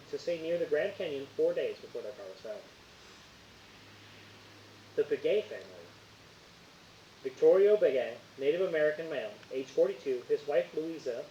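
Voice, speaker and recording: A man's voice: a little high, mellow. A man acting as game master, reading out case details and refereeing a tabletop roleplaying game. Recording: muffled, hissy, roomy home recording.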